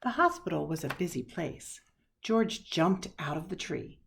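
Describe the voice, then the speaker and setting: A woman reading a children's picture book aloud expressively, with dramatic pauses and hushed asides. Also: sits low, very animated, gravelly, noisy background. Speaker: storybook reader.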